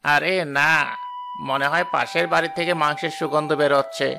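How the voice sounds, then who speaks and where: A man's voice: on the high side, steady tone, normal speed, creaky, background noise. A man voicing a selfish, cold land-grabbing brother in a cartoon, curt and dismissive, over music. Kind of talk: moral-story cartoon acting.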